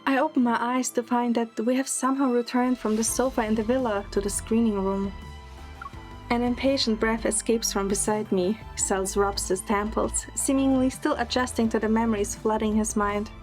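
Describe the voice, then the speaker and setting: A woman's voice: fairly high pitch, fairly even intonation, moderate pace, a little mellow, soft background music. A woman narrating a scripted first-person romantic story in an audio drama.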